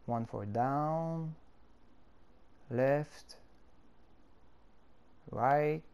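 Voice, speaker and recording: A man's voice: fairly high pitch, a little mellow, clear, resonant tone. A man whose first language is French, speaking English with a French accent and walking through a game-editor tutorial step by step. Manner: unhurried, explaining step by step with fillers. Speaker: tutorial creator.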